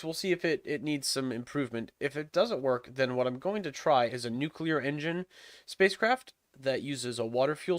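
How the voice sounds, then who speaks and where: A man's voice: mid-range voice, no room sound. A young man hosting a game livestream, chatting casually and thinking aloud with lots of fillers, his nose stuffed up and sniffling. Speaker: livestream host. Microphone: desk microphone.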